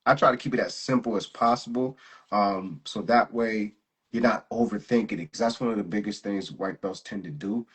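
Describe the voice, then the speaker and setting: Host role: a man with a lowish, husky voice, laid-back and slangy, laughs easily. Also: normal speed. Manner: relaxed, laughing, slangy, repeats words. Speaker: jiu-jitsu instructor and host. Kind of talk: casual live interview chat.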